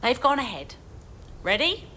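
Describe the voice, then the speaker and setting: A woman's voice: fairly high pitch, big pitch swings, a little slow. A woman playing a breezy, cheeky angel in an audio drama. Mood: brisk, commanding.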